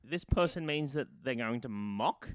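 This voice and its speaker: A man co-hosting a comedy podcast, riffing casually in a halting, mock-analytical way. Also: medium pitch, expressive, clean tone. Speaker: podcast co-host.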